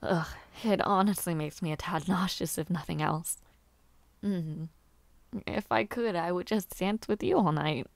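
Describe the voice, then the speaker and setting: A woman playing a bashful, playful young noble lady who aspires to knighthood in an audio roleplay, with halting, giggly speech and formal wording. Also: on the low side, vocal fry, no room sound. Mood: wry, bashful. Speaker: roleplay voice actor. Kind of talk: intimate scripted audio roleplay.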